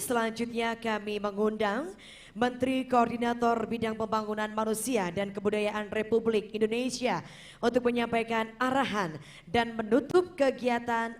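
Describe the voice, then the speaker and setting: A woman with a fairly high voice hosts a ceremony. She announces guests in a polished, formal way. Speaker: master of ceremonies.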